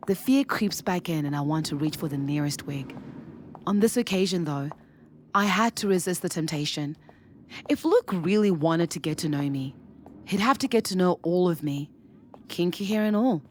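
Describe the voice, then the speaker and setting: A woman's voice: fairly low pitch, expressive, normal speed, a little hiss or hum, natural voice. An actress playing a young single woman, anxious and wry about romance, in a naturalistic audio drama. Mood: reflective narration. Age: young adult. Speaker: lead character and narrator. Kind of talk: naturalistic audio drama dialogue and first-person narration.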